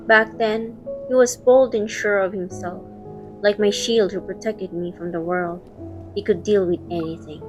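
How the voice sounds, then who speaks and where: A woman's voice: mid-range voice, fairly even intonation, measured pace, over music. A woman reading scripted first-person narration for a romantic audio drama, also voicing its heroine.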